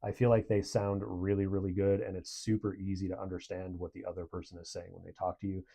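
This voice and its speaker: Man, low voice, soft mellow tone, casual reviewer, conversational delivery. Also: flat delivery, quick pace, vocal fry, slight background noise. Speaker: product reviewer.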